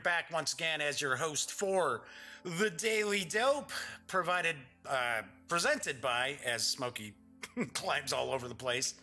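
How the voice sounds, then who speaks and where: A man hosting a casual board game news show, chatty and upbeat, his voice a bit congested and raspy. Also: on the high side, bright, forceful tone. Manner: chatty, upbeat, reads press releases aloud.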